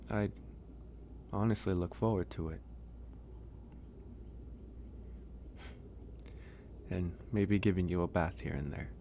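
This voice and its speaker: A man acting a gentle, flirtatious, lonely werewolf in a soft-spoken ASMR romance roleplay. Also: deep voice, slight background noise. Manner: soft-spoken, unhurried, reassuring.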